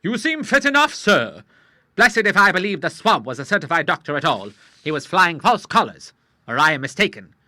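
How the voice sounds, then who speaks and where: A man voicing a blunt, hearty elderly admiral who talks in loud, emphatic sea slang. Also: high-pitched, very animated, normal speed, no room sound, clean studio sound, voice pushed up, exaggerated voice. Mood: triumphant. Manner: gruff nautical talk, loud and emphatic. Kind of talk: audiobook character voice.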